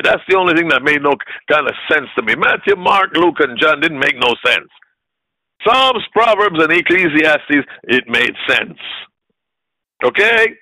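A man's voice: high voice, pushed and bright, no echo, rough recording. A man preaching a fervent sermon, emphatic and repeating short phrases for effect.

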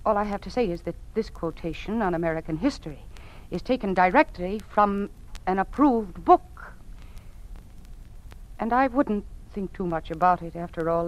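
An actress playing an earnest, troubled young schoolteacher in an old-time radio drama, with careful, precise diction. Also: female voice, fairly low pitch, lightly acted. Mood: careful, controlled. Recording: muffled old radio recording.

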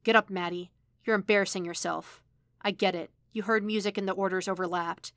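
Woman acting gruff older male handler, gravelly, commanding, contemptuous, clipped delivery, audio story, dry close sound. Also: mid-range voice, steady tone, a little fast, fairly bright tone, no echo, natural voice. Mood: scornful, resigned. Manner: barking, clipped orders and scornful swearing.

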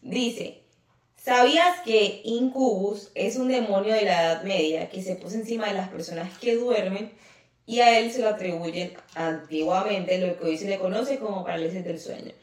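A woman's voice: mid-range voice, normal speed, far from the mic. A woman with a Colombian Caribbean coast accent, co-hosting a casual podcast chat, laughing often and teasing. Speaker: podcast co-host. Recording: echoey room, off-mic.

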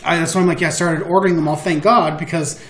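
A podcast co-host chatting casually in an animated, sweary storytelling way, often acting out quotes. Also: medium pitch, fast talker. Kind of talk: casual group chat. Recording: roomy echo, audible background noise.

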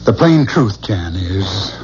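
Anguished husband in old radio play, scared of himself, low voice, muffled hissy tape. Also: male voice, very animated, slow, darkish tone. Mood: troubled. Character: anguished husband, fearful he harbours a killer's soul. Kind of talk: 1970s radio drama acting.

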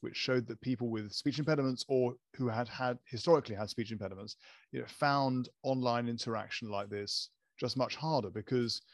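A man's voice: medium pitch, brisk, vocal fry, dry recording. Man who stammers, close dry sound. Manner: stammers, with hesitant fillers and repeated words. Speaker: guest. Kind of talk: casual conversation.

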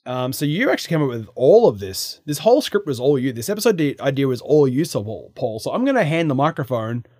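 Young male co-host, chatty and laughing, mid pitch, dry close mic. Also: big pitch swings, mellow, no room sound. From Australia. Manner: chatty, laughs often, quick asides. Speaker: podcast co-host. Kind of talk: casual chat.

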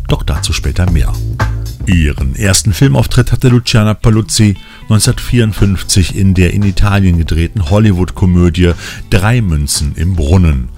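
A man's voice: low-pitched, darkish tone. A man hosting a magazine show, reading scripted narration. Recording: music bed behind the voice. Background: music.

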